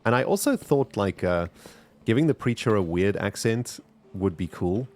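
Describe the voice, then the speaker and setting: Chatty South African man, low voice. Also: lively intonation, normal speed, darkish tone. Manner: chatty, rambling, talks to chat. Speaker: streamer. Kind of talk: casual gameplay commentary.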